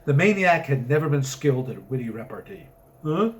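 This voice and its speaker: A man's voice: medium pitch, big pitch swings, brisk. Man reading a story theatrically. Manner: theatrical, emphatic delivery. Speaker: author reading his story aloud. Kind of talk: dramatic comic story narration.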